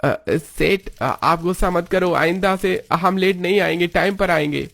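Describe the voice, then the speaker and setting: A man's voice: high voice, measured pace, vocal fry, a bit bright, heavily acted. A man playing a poor factory worker, apologetic yet proud, in a cartoon story.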